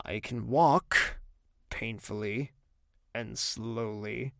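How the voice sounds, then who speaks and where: Creaky, weary young royal played by a man. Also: lowish voice, fairly even intonation, drawn-out words, a bit bright, dry recording, heavily acted. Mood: irritated, weary. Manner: dry, curt, world-weary. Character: weary, brooding young male royal, bedridden and blunt. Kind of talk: audio drama acting.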